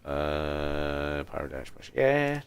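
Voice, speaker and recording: A man's voice: extremely low pitch, sing-song, slow, a little hiss or hum. A man livestreaming a video game with casual commentary, muttering to himself as he thinks aloud. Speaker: livestreamer, gamer. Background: game music.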